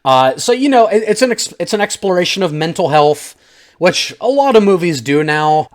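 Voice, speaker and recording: A man giving an off-the-cuff, opinionated video review, chatting informally and directly to his viewers. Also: a little high. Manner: conversational, opinionated, informal slang, addresses audience as guys. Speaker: film reviewer, channel host. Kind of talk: casual unscripted video review, talking to viewers.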